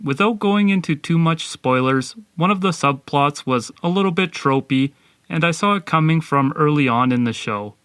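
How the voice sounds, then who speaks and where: A man's voice: a little high, animated, normal speed, a bit bright, clean tone, no echo. Man hosting a podcast review, dry sound.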